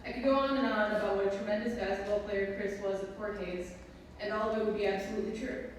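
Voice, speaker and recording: A woman's voice: mid-range voice, fairly even intonation, fast talker, distant mic. Wisconsin lady reading a speech at a ceremony, really echoey, faint noise. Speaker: presenter introducing her mother. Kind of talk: prepared speech read aloud. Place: large echoing hall at a ceremony.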